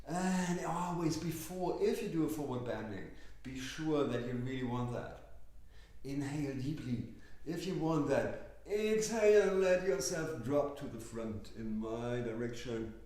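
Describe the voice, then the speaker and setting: A man leading a yoga class, speaking softly and slowly with gentle instructions. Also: medium pitch, animated. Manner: soft, slow, gently instructive. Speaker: yoga teacher. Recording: very echoey room.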